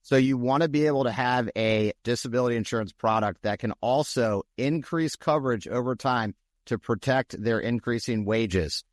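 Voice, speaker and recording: A man co-hosting a podcast, explaining things in a steady, slightly salesy way. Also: medium pitch, moderate pace, no room sound, clean studio sound. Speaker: podcast co-host. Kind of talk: casual podcast discussion.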